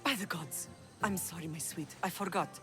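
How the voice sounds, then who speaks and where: A woman playing a dignified older Greek mother who rules an island, grave and measured, in a video game drama. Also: lowish voice, sharp tone, faint music behind. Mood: commanding.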